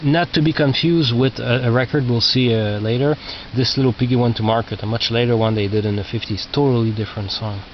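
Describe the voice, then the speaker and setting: Low-voiced man on a muffled, noisy recording. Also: steady tone, fairly quick, few pauses. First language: not English. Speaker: record collector. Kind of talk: casual narrated show-and-tell of collection. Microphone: cheap video camera microphone. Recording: muffled cheap video camera, loud noise.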